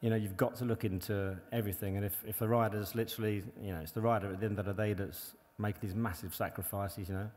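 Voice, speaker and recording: Male panel guest, low-ish voice, loose rambling delivery, long reverb of a large venue. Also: fairly even intonation, quick pace, few pauses, a little mellow. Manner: rambling, many "you know" and restarts. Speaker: bike engineer and former pro racer. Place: large hall on stage before an audience.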